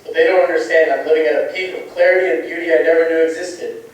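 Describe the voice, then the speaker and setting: A man's voice: a little mellow, lightly acted. A young man acting an anguished, bitter genius in an amateur stage play, with earnest, emphatic delivery. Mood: elated. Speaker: student actor. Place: indoor theatre run-through without audience. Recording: echoey hall, distant mic, rumbling noise.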